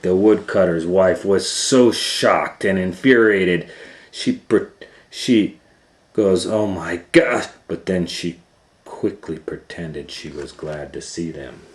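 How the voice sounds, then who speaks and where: A man reading a children's story aloud with dramatic delivery, swinging between hushed and loud. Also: sits low. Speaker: storytime reader and host. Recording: roomy echo, faint background noise.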